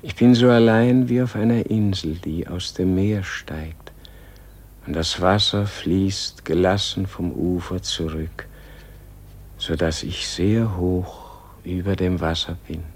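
A man playing a dying, serene Gandhi in a solemn radio play, speaking in a gentle, contemplative, weary manner. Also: sits low, fairly even intonation, takes it slowly, exaggerated voice.

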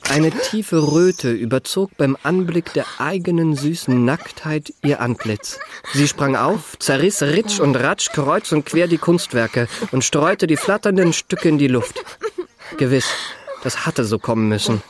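Radio play lead: smooth young male swindler, elegant manners, flowery polite phrasing. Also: fairly high pitch, animated, measured pace, background noise. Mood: wry, admiring. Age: young adult. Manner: polished, flowery, courteous. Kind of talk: radio drama acting.

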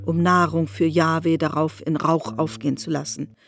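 A woman's voice: deep voice, level tone, moderate pace. A woman narrating a literary work for radio in a composed, formal manner. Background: faint music.

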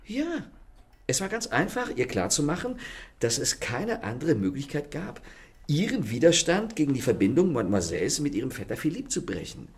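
An actor playing a self-assured, courteous and mocking gentleman thief in a German radio play, with polished theatrical manners. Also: fairly high pitch, huge pitch swings, normal speed. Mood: courteous, confident.

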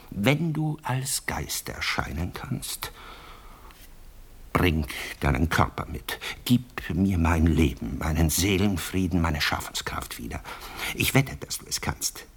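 An actor in a radio drama playing a jealous, self-pitying novelist lover, theatrical, bitter and mocking, swinging from mutters to outbursts. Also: male voice, deep voice, breathy edge, heavily acted. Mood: jealous, desperate.

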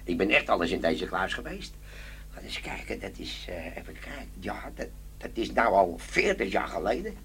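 An actor in a Dutch radio play, with a Netherlands accent, playing an older, boastful ex-bomb-disposal sergeant who talks in an informal, wry, rambling way. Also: male voice, low-pitched, very animated, normal speed, a bit bright, exaggerated voice. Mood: reminiscing. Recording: noisy, humming old radio drama recording.

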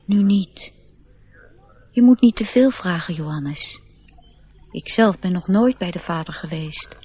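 A woman acting a proud, youthful male nature sprite, self-assured and gently instructive, in a radio play. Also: lowish voice, lively intonation, unhurried, breathy edge. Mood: knowing.

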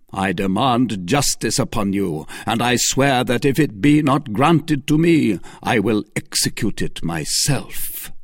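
A man voicing a vengeful English lord who accuses loudly and emphatically. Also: on the low side, no room sound, clean studio sound, full character voice. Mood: accusing. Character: wronged middle-aged English lord, vengeful.

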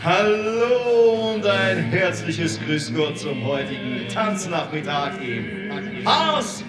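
An ageing male DJ hosts a dance in a radio comedy with jovial, showy calls. He is high-pitched and drawls slowly in an echoey hall over loud music. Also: animated. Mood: jovial showmanship. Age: older. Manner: showy, drawn-out announcing. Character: ageing, jovial amateur DJ at retirement-home dance.

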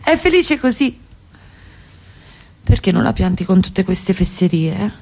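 A woman's voice: medium pitch, very animated, unhurried, gravelly, a little mellow, exaggerated voice. A woman plays a married mistress in a radio drama. She coaxes, questions and protests in a mid-range voice, pleading and defensive with her lover. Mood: coaxing, exasperated. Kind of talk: radio theatre acting.

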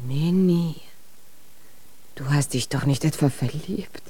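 A woman's voice: sits low, slow pace, mellow, husky, full character voice. An actress playing a frank, teasing, pitying young woman in a German children's radio play. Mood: surprised. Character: frank young woman, kindly but dismissive friend.

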